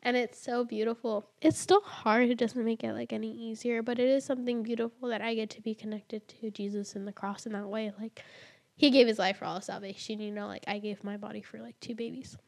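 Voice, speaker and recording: A woman's voice: a little high, level tone, vocal fry, a little mellow. A woman in her early twenties, a podcast guest, talking about faith and motherhood in a casual way, with lots of "like" fillers and easy laughter. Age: early 20s. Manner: chatty, many "like" fillers, quick to laugh.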